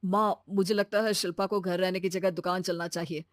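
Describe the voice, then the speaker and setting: A woman playing a reasonable, supportive young man, a son and husband, in a cartoon moral story, calm and explanatory. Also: fairly low pitch, a little fast, no room sound, clean studio sound, natural voice. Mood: calm, explaining.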